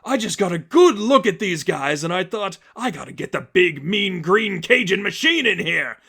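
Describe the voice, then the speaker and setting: Gleeful male clown supervillain, high-pitched, taunting, audiobook-style villainy. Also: big pitch swings, moderate pace, gravelly, bright, forceful tone, husky, exaggerated voice.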